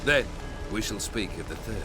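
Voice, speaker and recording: A man's voice: lowish voice, expressive, normal speed, over music, close to an everyday voice. An actor playing an imperious, mercurial bandit nobleman in a video game, taunting and theatrical in his delivery. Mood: mocking, lordly. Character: haughty, mercurial male bandit nobleman, mocking and commanding.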